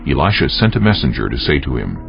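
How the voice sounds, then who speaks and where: A man's voice: very deep voice, very animated, fast talker, creaky. A man narrating dramatized scripture from a script. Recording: dull lo-fi, music underneath.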